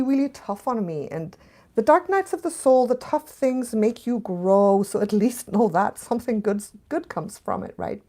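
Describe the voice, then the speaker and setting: A woman in her late forties with a mixed Canadian, German and Scottish accent, talking casually to viewers on a live stream and laughing often. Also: a little high. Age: 40s. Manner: chatty, laughs often, shifts between hushed and bright. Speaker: channel host reading viewer comments.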